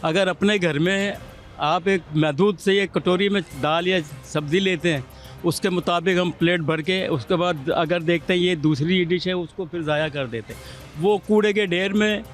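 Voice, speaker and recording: Middle-aged man in the street. Earnest, methodical, fairly high voice, faint music outdoors. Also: normal speed. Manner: earnest, explains point by point. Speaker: member of the public interviewed. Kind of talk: street vox pop.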